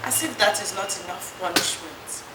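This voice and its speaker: An actress with a Nigerian accent playing an anguished, defiant bereaved mother in a film melodrama, wailing and pleading in drawn-out cries. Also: mid-range voice, roomy sound, distant mic, natural voice. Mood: weary grief. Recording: noisy film location sound with clicks.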